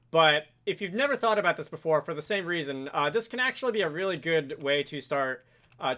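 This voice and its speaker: Male tutorial presenter, slightly higher-pitched and bright, informal and explanatory, talking viewers through code. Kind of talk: casual screen-recorded coding tutorial.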